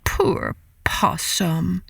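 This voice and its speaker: Female kids' storyteller, unhurried, expressive, dramatic scripted read, light music underneath. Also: lowish voice, vocal fry. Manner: dramatic, deliberate, with repetition for effect. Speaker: children's podcast storyteller.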